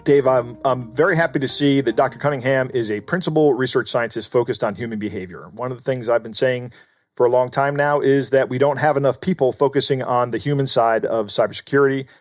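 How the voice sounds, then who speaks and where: A man's voice: mid-range voice, brisk, no echo, soft background music. A man co-hosting a podcast, giving earnest, explanatory commentary in a conversational way. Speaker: podcast co-host.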